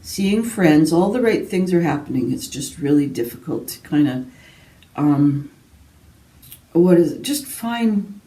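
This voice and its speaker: A woman's voice: on the low side, mellow. A woman from Canada chatting casually and ramblingly to her viewers as a book vlogger, in a self-deprecating way. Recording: roomy echo, distant microphone.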